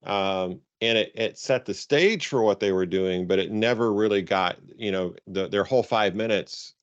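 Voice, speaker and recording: A man with a very deep voice gives informal guidance to coaches. He speaks haltingly and rephrases for emphasis. The sound is dull, like a conference call, and quite dry. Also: dry recording. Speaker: robotics coaching advisor leading a conference call.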